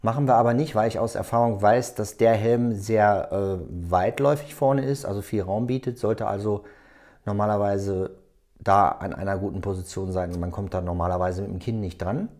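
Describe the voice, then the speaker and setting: A man hosting a hands-on tutorial, explaining step by step in a halting, unscripted way as he works. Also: on the low side, fairly even intonation, darkish tone. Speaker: tutorial host. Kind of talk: hands-on tutorial, talking while working.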